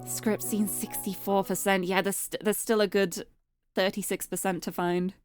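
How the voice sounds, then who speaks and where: A young woman streamer chatting casually and cheerfully, her voice getting a little hoarse and tired. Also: mid-range voice, little pitch movement, moderate pace. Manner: bubbly, chatty, restarts words often. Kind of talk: casual live-stream chat. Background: background game music.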